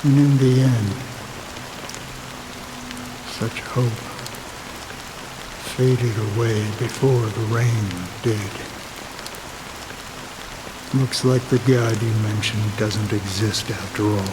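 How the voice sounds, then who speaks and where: Brief: sorrowful storyteller, very slow and measured, rain clearly audible. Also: male voice, on the low side, very noisy. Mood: somber. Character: weary man who has watched decades of rain. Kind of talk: video game cutscene dialogue. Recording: steady rain heard behind.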